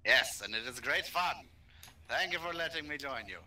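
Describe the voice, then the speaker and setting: A man's voice: mid-range voice, animated, moderate pace, pushed and bright, a little hiss or hum. A man in casual gaming banter, clowning around in a cheeky, boastful and crude way. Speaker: gaming co-op guest. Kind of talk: casual banter while playing.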